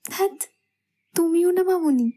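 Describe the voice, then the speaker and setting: A young woman playing a shy, anxious bride who protests gently and hesitantly in a romantic audio story. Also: extremely high pitch, measured pace. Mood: bashful. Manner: coy, hesitant, softly pouting.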